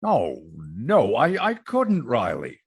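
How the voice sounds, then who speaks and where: An actor playing a genteel, courteous boss in an old-time radio comedy, speaking politely and a little hesitantly. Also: male voice, mid-range voice, huge pitch swings, slow pace, husky, full character voice. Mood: modest, then game.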